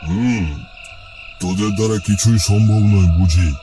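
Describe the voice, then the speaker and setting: A man's voice: fairly low pitch, steady tone, moderate pace, music in the background, close to an everyday voice. A man playing a stern, self-important village headman in a cartoon, speaking with pompous authority. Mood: indignant, self-justifying. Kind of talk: Bengali cartoon dialogue.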